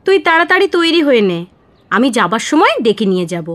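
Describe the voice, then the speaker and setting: A woman playing a brisk, bossy but friendly village neighbour in an audio drama, talking in a homely, colloquial way. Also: fairly high pitch, sing-song, a bit bright. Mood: brisk, coaxing. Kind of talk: audio story acting in Bengali radio drama.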